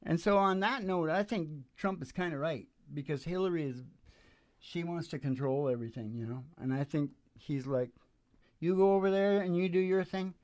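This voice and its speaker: A man's voice: a little high, normal speed, a little mellow, no room sound. An older man hosting from a stage, chatting casually in a colloquial, emphatic, rambling and opinionated way into a vocal microphone. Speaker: musician and show host. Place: small indoor stage. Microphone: close stage vocal microphone.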